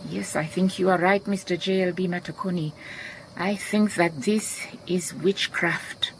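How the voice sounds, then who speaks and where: Calm woman detective, Southern African accent, slightly low pitch, measured, gently wry, radio drama, faint insect noise. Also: poor audio. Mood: grave. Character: calm, shrewd, kindly woman detective in Botswana.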